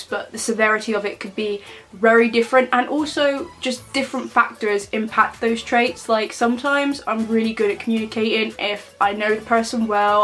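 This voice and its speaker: A young woman in her twenties chats straight to camera in a relaxed vlog. Her voice is nasal and stuffy from a cold, and she talks plainly with plenty of ums and uhs. Faint music sits behind her. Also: fairly high pitch. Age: 20s. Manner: chatty, plain-spoken, many fillers. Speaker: vlogger. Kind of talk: casual talk to camera.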